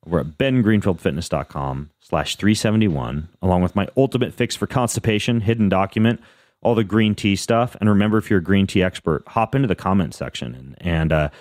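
A man hosting a podcast in casual chat, rambling through lists with a fairly even, monotone delivery. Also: low-pitched, no echo, studio quality. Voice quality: self-described monotone. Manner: rambling, lists things off, frequent fillers and restarts. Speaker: podcast host.

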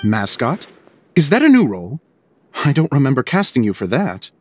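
An actor playing an excitable, flustered middle-aged theater director in a video game. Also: male voice, medium pitch, normal speed, a little hiss or hum, close to an everyday voice. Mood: puzzled. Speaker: theater director and scriptwriter character.

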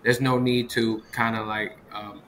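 A man's voice: medium pitch, little pitch movement, measured pace, fairly bright tone. A man in his thirties from Vietnam, a chef talking casually as an interview guest, often checking in with the listener. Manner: repetitive, often ends points with "right?". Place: small room indoors, on a video call. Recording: video call with clear background noise.

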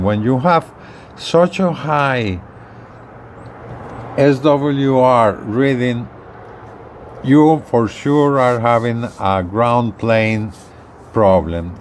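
A middle-aged man demonstrates equipment in an unscripted way, speaking slowly in short, halting phrases at a medium pitch. He is recorded outdoors on a porch, with some light ambient noise around him. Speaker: gadget reviewer demonstrating equipment.

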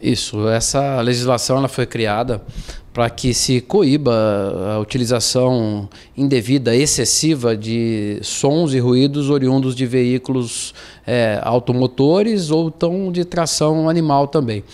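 Formal, halting middle-aged Brazilian man. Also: medium pitch, a little mellow. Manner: formal, halting with hesitant pauses. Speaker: official being interviewed. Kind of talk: explanatory interview answers. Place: indoors against a plain wall. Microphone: handheld interview microphone held to him.

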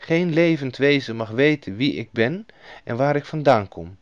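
A man voicing a gentle, sorrowful young princess who chants a rhyme, reading a storybook aloud. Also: mid-range voice, expressive, moderate pace, no echo, dull audio, voice pushed up, lightly acted. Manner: pleading, sing-song chanting.

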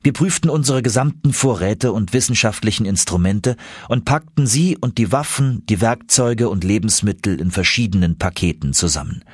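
Low, husky male audiobook narrator. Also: normal speed, dry recording, clean studio sound. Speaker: audiobook reader. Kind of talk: scripted first-person audiobook narration of an adventure novel.

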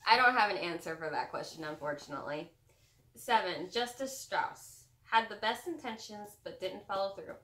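A young adult woman chats casually about books. Her voice sits at a middle pitch. The recording has a roomy echo, as from a camera in a room at home. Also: animated, normal speed, sharp tone. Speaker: book vlogger co-host. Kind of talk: casual chat about books, reading out tag prompts.

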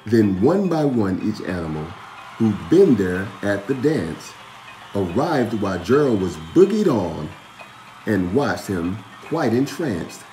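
A man reading a children's picture book aloud with expressive, deliberate, dramatic storybook narration. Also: on the low side. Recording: echoey room with music underneath.

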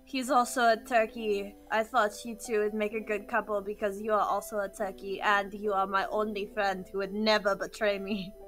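A woman playing a matter-of-fact, deadpan matchmaking friend in a tabletop role-play podcast. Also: medium pitch, normal speed, hardly any pauses, faint music behind, lightly acted.

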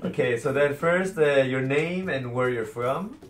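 A man's voice: mid-range voice, measured pace. A man in his twenties casually interviewing people as a host. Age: 20s. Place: small indoor room. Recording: roomy, distant mic, music underneath.

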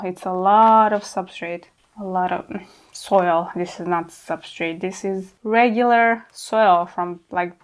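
A young woman hosting a plant vlog, talking casually and candidly as she works with her hands, informal and mildly sweary. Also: medium pitch. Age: young adult. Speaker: plant channel host. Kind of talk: casual hands-on tutorial chat. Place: indoor plant studio at a worktable.

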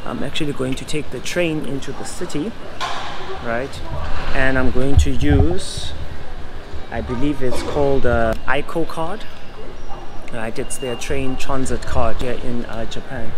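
A man's voice: mid-range voice, a little slow. A man in his thirties chatting casually to his camera as he finds his way through hot city streets, friendly and thinking aloud. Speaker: travel vlogger. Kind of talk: casual travel vlog. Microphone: action camera held at arm's length. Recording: loud street noise on camera mic. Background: traffic and street noise.

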